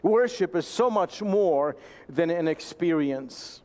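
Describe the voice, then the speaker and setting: High-voiced preaching man from Romania. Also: lively intonation, darkish tone. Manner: earnest, emphatic, slows down for key phrases. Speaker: pastor. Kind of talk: sermon.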